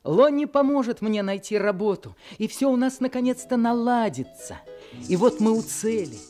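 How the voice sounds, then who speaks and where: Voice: mid-range voice, expressive, moderate pace, a little mellow, soft background music, lightly acted. A hopeful teenage boy tells his own story, his voice rising and falling a great deal, as an actor plays him. He speaks in a wistful, storytelling way in an old radio play.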